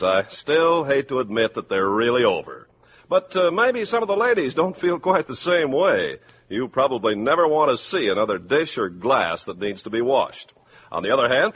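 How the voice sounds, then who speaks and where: A man announcing and pitching products in the polished style of a 1950s radio commercial. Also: a bit bright. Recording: muffled, hissy 1950s radio recording.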